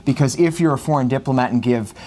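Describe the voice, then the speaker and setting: A male news anchor talks through the day's stories at a mid-range pitch. He keeps it conversational and unscripted, dropping frequent "uh" fillers as he hands off to his colleague. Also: fast talker, a little mellow. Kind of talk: live news desk conversation.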